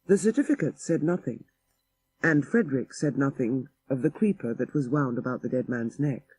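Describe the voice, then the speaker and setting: A man's voice: mid-range voice, expressive, moderate pace, a little mellow, husky, no room sound, poor audio. A man narrating a literary ghost story for an audiobook in a dramatic style.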